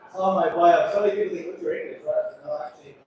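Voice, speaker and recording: A man's voice: sits high, fairly even intonation, fast talker, very echoey, lo-fi sound. Man, ship captain, quippy and self-deprecating, heard from far off, crowd noise behind. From Ireland. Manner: chatty, joking, self-deprecating. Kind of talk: announcement to passengers. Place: large echoey atrium on a cruise ship.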